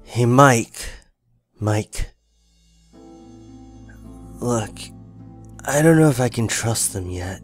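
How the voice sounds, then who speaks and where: A man's voice: mid-range voice, animated, drawn-out words, pauses often, soft background music. A man hosting and acting in a dramatic improvised Minecraft roleplay. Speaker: gaming roleplay host.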